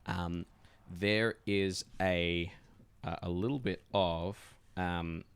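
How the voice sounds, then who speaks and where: A man running a tabletop roleplaying game as game master, speaking in a halting, encouraging way. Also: low voice, fairly even intonation, smooth.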